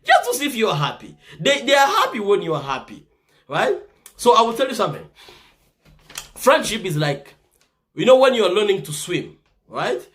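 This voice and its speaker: A man's voice: high voice, wildly swooping pitch, normal speed, pauses often, fairly bright tone. A man from the Congo with a Congolese accent, giving earnest casual advice to viewers and often checking in with "right?". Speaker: vlogger giving life advice.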